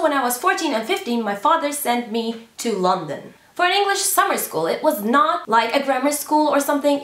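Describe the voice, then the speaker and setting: Woman, 20s, English speaker, animated vlog chat, slightly high voice, roomy echo. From Turkey. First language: Turkish. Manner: animated, gestures a lot while talking. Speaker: vlogger. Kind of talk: casual chat to camera. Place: small room indoors.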